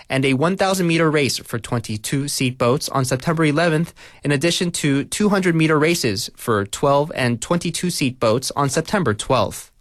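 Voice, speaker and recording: A man reading a scripted local news bulletin in a measured, formal way. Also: on the high side, no room sound, clean studio sound. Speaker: news reader.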